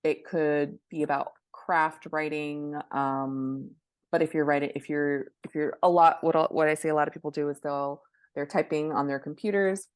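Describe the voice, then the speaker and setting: A woman's voice: sits low, a little mellow, no echo, studio quality. A woman speaking as a guest expert in an interview, explaining things informally with practical examples. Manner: conversational, gives practical examples and step-by-step advice. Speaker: guest expert, book publishing professional and influencer. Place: indoors by a window, at a laptop.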